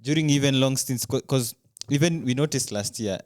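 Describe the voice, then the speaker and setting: A man's voice: mid-range voice, moderate pace, slight background noise. A man co-hosting a podcast, explaining things in casual sports chat. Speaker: podcast co-host.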